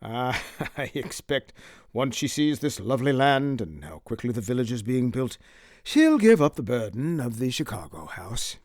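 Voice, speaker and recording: A man voicing a self-assured gentleman in an audiobook, formal, measured and placating in his wording. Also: medium pitch, big pitch swings, dry recording, clean studio sound, lightly acted. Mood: evasive. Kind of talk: audiobook character acting.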